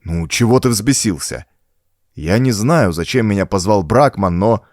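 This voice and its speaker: A tough, blunt man on his guard, played by a male narrator in a pulp horror storybook. He talks in a challenging way, with lively pitch and a close, dry sound. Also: measured pace, dry recording. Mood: wary questioning. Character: tough, wary adult man, blunt adventure hero.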